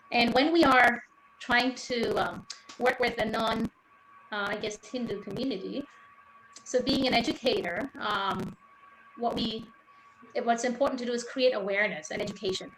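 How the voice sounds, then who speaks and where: A woman from Sri Lanka, a teacher, speaking earnestly on a panel with many pauses and fillers. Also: mid-range voice, fairly bright tone. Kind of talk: panel discussion remarks. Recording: echoey room, faint noise.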